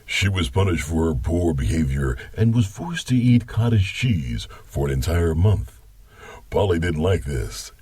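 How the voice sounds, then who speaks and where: A man playing a teasing, mischievous grandfather with a husky voice, telling a spooky bedtime tale. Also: low voice, mellow, no room sound, heavily acted. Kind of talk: acted bedtime storytelling.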